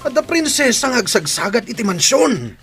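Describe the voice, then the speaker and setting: Man acting a cheeky, flirtatious guy, high voice. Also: very animated, moderate pace, over music, heavily acted. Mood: flirty, teasing. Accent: Filipino. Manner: teasing. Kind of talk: radio comedy drama acting.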